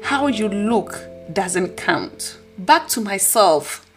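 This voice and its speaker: A woman with a husky voice hosting a podcast, delivering an earnest, preachy motivational talk. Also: mid-range voice, expressive, drawn-out words, soft background music. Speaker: podcast host.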